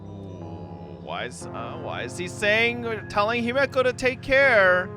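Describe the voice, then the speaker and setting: A man's voice: high voice, big pitch swings, slow. A young man reacting casually to a game on stream, crude, sweary and joking. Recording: game music behind.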